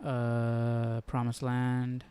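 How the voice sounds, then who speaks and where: A man hosting a Bible study stream in casual, slangy chat, halting as he thinks aloud. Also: medium pitch, monotone, dark tone, clean tone. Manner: informal, slangy, halting as he works things out.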